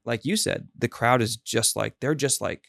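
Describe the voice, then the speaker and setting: A man hosting a casual podcast chat about weddings as a DJ, telling lively stories and acting out what people said. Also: medium pitch, moderate pace, vocal fry, no room sound, clean studio sound. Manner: animated storyteller, acts out quotes.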